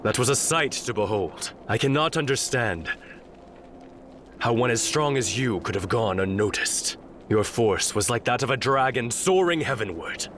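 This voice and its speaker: An actor playing a valiant, courteous warrior general in a video game, speaking in formal, earnest, heroic phrases. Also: male voice, medium pitch, lively intonation, normal speed, a bit bright, slight background noise, lightly acted. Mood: courteous, solemn. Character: valiant, courteous young male warrior general, solemn and noble. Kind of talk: dramatic video game cutscene acting.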